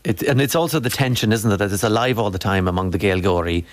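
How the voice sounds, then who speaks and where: A man's voice: on the low side, quick pace, dry recording. A man with an Irish accent interviewing guests conversationally on the radio.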